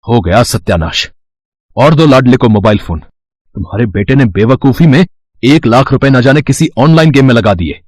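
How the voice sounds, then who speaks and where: A man's voice: mid-range voice, lively intonation, moderate pace, a little mellow, no room sound, studio quality, lightly acted. A man playing a stern, well-off father who scolds, in a children's moral story. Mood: angry, scolding.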